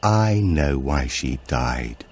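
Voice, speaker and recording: Man voicing a slow, bitter older woman, low-pitched. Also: lively intonation, slightly rough, heavily acted. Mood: bitter, grave.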